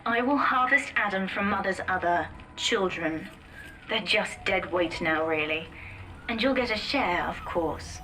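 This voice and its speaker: A young woman playing a ruthless but devoted teenage daughter in a dramatic video game, with deliberate, earnest, commanding delivery. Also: mid-range voice, background noise, natural voice. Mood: cold resolve. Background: music.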